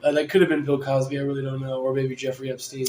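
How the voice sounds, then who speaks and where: A man's voice: medium pitch, little pitch movement, fast talker, slight background noise. Rambling, joking male podcast host. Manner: rambling, joking, laughs loudly. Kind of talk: casual chat. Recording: roomy room with faint ambience.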